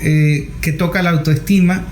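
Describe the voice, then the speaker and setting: A man's voice: fairly high pitch, fairly even intonation, normal speed, a little mellow. A man hosts an interview conversationally, building his questions in short, broken phrases. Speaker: interviewer, host. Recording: roomy, echoing room sound.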